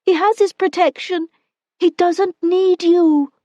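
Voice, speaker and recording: A frantic young woman pleading through tears, her voice pitched far up and trembling, as a woman reader puts her on. The tone is mellow and close. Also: fairly even intonation, slow, no room sound, dull audio. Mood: desperate pleading.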